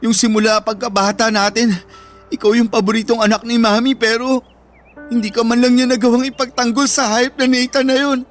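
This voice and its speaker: An actor playing an emotional, protective older brother with a Filipino accent in a radio drama, pleading and earnest. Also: male voice, very high-pitched, little pitch movement, brisk. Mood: hurt, protective. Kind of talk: Tagalog radio drama acting. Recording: background music throughout.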